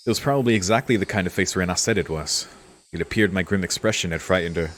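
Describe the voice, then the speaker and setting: A man with a low-pitched voice works through a story game as a let's player. He reads narration aloud and muses on it casually, in a laid-back way. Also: fast talker, fairly bright tone, slight background noise. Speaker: let's-play streamer. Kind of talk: reading game text aloud with casual commentary.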